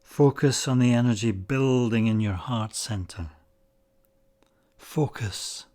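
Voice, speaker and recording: A man leading a guided meditation, speaking in measured, deliberate short phrases with pauses between them. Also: mid-range voice, darkish tone. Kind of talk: guided meditation, slow spoken instruction.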